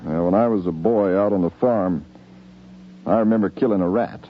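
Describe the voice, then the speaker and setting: An actor playing a stern, world-wise American police chief in a 1950s radio drama, commanding and plain-spoken. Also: male voice, low voice, normal speed, lightly acted. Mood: grave, instructive. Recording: noisy, muffled 1950s radio recording.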